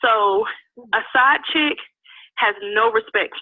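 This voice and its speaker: A woman's voice: on the high side, takes it slowly, dry recording. Stuffy-nosed woman on a tinny phone line. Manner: earnest, firm, open. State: stuffy from a cold. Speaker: caller. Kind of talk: phoned-in call on a podcast.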